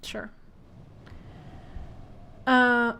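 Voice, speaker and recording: A woman's voice: a little high, flat delivery, extremely slow, bright, forceful tone, clear, resonant tone. A woman streaming a game, chatting casually, giggling and reading the game's text aloud with asides. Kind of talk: casual live-stream chat while playing a game.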